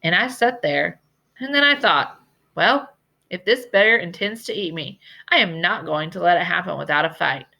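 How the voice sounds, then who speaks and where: A woman voicing a genteel old lady librarian from a storybook, telling tales in a deliberate, dramatic way with emphatic repetition. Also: fairly low pitch, lively intonation, gravelly, sharp tone, heavily acted. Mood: playful, storytelling. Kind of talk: storybook character voice in a read-aloud.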